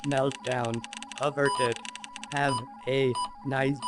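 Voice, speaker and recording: A woman voicing a calm, emotionless computer warning system in a game cutscene. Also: extremely low pitch, heavily acted. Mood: impassive. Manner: measured, emotionless. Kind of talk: automated announcement in game. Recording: loud music over voice. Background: loud music.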